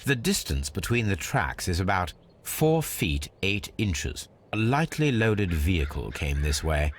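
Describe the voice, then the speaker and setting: An actor playing a brilliant, aloof gentleman detective in a video game, with a British accent and a precise, measured, analytical delivery. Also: low-pitched, sing-song, heavily acted. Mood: observing. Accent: British English. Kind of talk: video game character acting.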